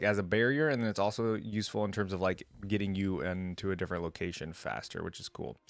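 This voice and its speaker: A man's voice: fairly low pitch, dry recording. A man giving casual, off-the-cuff reaction commentary as a host, musing aloud as he goes.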